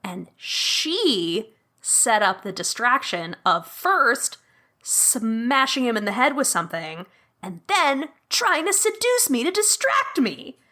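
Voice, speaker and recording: A woman's voice: fairly high pitch, big pitch swings, slow pace, bright, forceful tone. A woman playing a tabletop mystery game as a guest, who thinks aloud with many fillers. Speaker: guest player.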